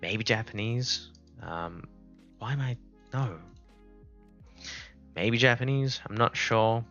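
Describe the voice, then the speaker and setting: Bright South African man streaming casually. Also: medium pitch, slow pace, muffled recording. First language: Afrikaans. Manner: rambling, thinking aloud, unscripted. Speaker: game streamer. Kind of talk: casual live-stream chat. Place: indoors at a laptop. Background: music playing.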